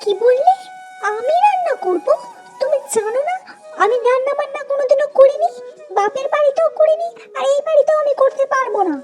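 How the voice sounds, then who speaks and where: A woman voicing a young, demanding daughter-in-law in an animated family melodrama, with a shrill, insistent manner. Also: a little fast, faint music behind. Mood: defiant.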